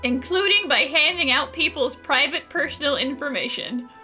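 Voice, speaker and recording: A woman's voice: high-pitched, normal speed, bright, forceful tone, soft background music. A woman giving casual, playful gameplay commentary, chiming in teasingly as the player character.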